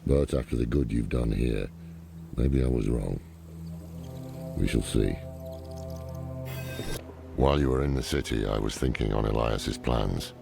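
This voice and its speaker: An actor playing a weary, wry old scholar-warrior in a video game, with dry, grim, measured delivery and a gravelly voice. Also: male voice, full character voice. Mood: grave musing. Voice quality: deep gravelly voice. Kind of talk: dramatic video game acting. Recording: music behind the voice.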